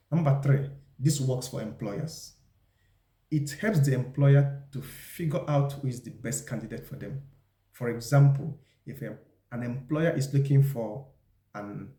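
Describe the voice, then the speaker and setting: A man with a mid-pitched, mellow voice gives an instructional talk in a reverberant room. He explains deliberately and addresses the viewer directly, like a presenter walking through advice. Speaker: video presenter giving job interview advice. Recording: echoey, roomy sound.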